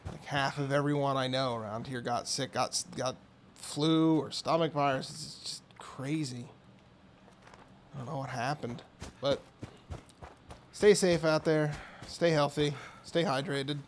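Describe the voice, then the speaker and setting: A man streaming a game with casual, rambling commentary, hoarse, raspy and a bit mumbly from being sick. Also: medium pitch, fairly even intonation, unhurried, a little hiss or hum. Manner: rambling, chatty asides.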